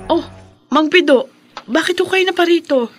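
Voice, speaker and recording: An actress playing a devout, caring woman in a Filipino radio drama, speaking earnestly and deliberately. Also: very high voice, faint music behind. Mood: alarmed. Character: Sheila, devout caring woman urging forgiveness.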